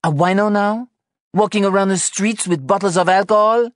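An exhausted, accusatory mother in an audiobook, acted by a male narrator. The voice is pushed well up in pitch and swings widely as she scolds, with a dry sound. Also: expressive, moderate pace, darkish tone, dry recording. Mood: angry, scolding. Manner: accusatory, exasperated. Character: exhausted, angry mother scolding her wayward teenage son. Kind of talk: audiobook character dialogue.

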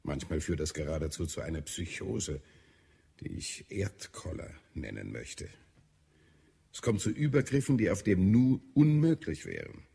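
Casting: grave, irritable alien health adviser for audio drama; deep and creaky. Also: male voice, big pitch swings, dark tone, heavily acted. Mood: irritable, weary. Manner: grave, edgy, deliberate. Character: irritable, weary male Martian health adviser, grimly concerned. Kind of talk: German audio drama acting.

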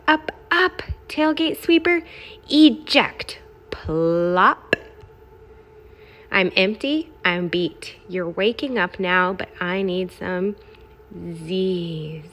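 A woman's voice: mid-range voice, lively intonation, drawn-out words, fairly bright tone. A woman voicing a brash, boastful, gleefully smelly garbage truck in a children's picture book, in punchy, emphatic phrases. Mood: bossy, excited, then tired. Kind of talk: expressive children's picture-book read-aloud.

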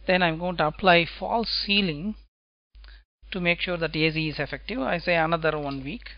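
A man teaching software testing in a screencast lecture, talking step by step and checking in with "right?" after his points. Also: a little high, no room sound, highs cut off. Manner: slow, deliberate explaining, tag questions "right?".

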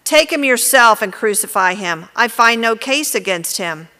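A woman's voice: a little high, animated, normal speed, sharp tone, long reverb tail. A woman reading the lines of a stern male Roman governor questioning a prisoner during a church Passion reading.

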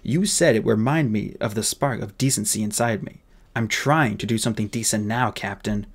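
A man's voice: on the low side, fairly quick, husky. A man voicing a clever human boy in an audiobook, speaking formally and earnestly with urgent persuasion. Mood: pleading.

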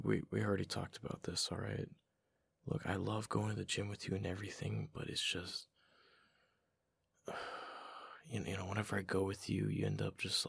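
A man playing a tired, affectionate husband in a boyfriend ASMR audio roleplay, talking in a casual, halting, mildly exasperated way with a deep, gravelly voice. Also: dry recording, heavily acted. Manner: casual, halting, sighing, intimate.

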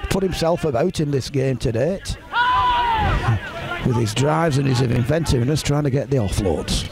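A man's voice: mid-range voice, lively intonation, moderate pace, gravelly, a loud crowd, heavy background noise. A man calling an amateur rugby league match outdoors in the rain, chatty, his voice rising on big plays. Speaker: play-by-play commentator. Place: outdoors at a rainy rugby ground. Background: spectators and rain.

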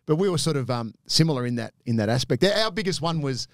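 Brief: Australian male, 40s, retired footballer, animated storyteller, dry studio sound. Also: medium pitch, quick pace, no echo. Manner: animated, laughs often, repeats words while thinking. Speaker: podcast guest, former footballer. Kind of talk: casual storytelling chat. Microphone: studio mic on a desk arm, headphones.

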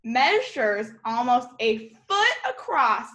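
A woman's voice: a little high, sing-song, slow pace, pushed and bright. A young woman teacher reading a children's nonfiction picture book aloud, expressively, adding informal explanations and exclamations for young listeners. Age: young adult. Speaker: elementary school teacher. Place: room at home, indoors.

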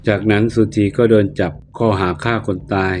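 A man narrating a ghost tale in a steady, measured way. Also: on the low side. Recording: narration with faint background music. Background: faint music.

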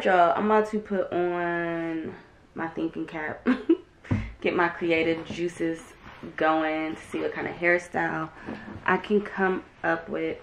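A young woman vlogging at home, chatting casually to a camera a few feet away, relaxed, with lots of "um" and "like". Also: sits low, slight background noise. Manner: chatty and relaxed, many "um" and "like" fillers. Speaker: lifestyle vlogger. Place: bedroom or dressing room at home, indoors. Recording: roomy echo of a bare room.

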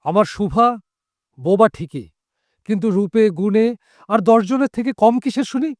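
A man's voice: high-pitched, a little slow, no echo, studio quality. A man playing a tender, protective father with an earnest, emphatic delivery in an audio drama. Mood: protective indignation. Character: tender, protective father defending his mute daughter. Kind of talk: Bengali audio drama acting. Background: background music.

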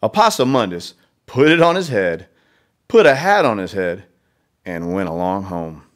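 Animated man reading a storybook. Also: low-pitched, moderate pace. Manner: conversational asides to young listeners. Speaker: read-aloud storyteller. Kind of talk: expressive children's storybook reading.

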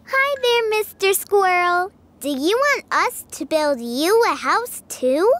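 A very high, childlike little girl for a kids' cartoon. She is cheerful, eager and helpful, speaking at an unhurried pace with lively swings of pitch. Loud children's music plays behind her. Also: slight background noise, heavily acted. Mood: friendly, eager.

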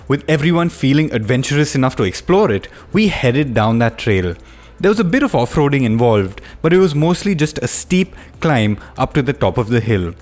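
A man's voice: mid-range voice, very animated, normal speed, darkish tone. A man reading scripted voice-over narration for a motoring travel film.

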